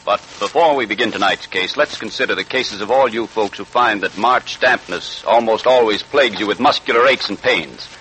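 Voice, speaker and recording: A man's voice: on the low side, normal speed, hardly any pauses, sharp tone, a little hiss or hum, poor audio. A man reading a scripted advertisement as an announcer in 1940s radio style.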